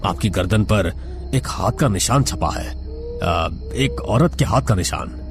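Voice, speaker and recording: A man playing a matter-of-fact official briefing a patient in a horror story, measured and formal. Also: fairly low pitch, animated, over music. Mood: grave, puzzled.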